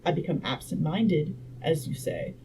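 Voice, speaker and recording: A woman reading aloud as a confident Victorian gentleman inventor who lectures in a didactic, assured way. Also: sits low, measured pace. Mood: insistent, lecturing. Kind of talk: audiobook-style character reading. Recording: noisy room background.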